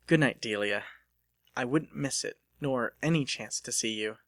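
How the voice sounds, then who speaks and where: A man's voice: mid-range voice, moderate pace, a bit bright, no room sound, natural voice. A man voicing an earnest, plain-spoken young mechanic and smitten suitor in an audiobook. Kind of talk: dramatic audiobook character reading.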